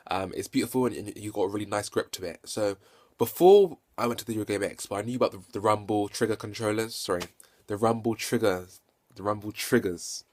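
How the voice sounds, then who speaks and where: A man with a fairly low voice talks through a casual hands-on game review. He stutters at times, repeats words and corrects himself as he goes. He sounds keen on his subject, speaking off the cuff into a handheld phone. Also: a little mellow. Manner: halting, self-correcting and repetitive. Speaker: video game reviewer and vlogger.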